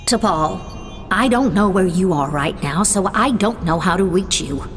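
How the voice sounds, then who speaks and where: A worried woman from a video game pleads earnestly in a letter. Her voice is slightly low. A long echo hangs behind her, with faint music. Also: lively intonation, a little slow. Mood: pleading. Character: worried woman writing to an estranged son. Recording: long echoing reverb.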